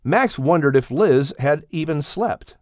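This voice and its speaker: Male narrator for children's audiobook, expressive storyteller, lively melodic range, close dry sound. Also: on the high side, a little slow, dry recording.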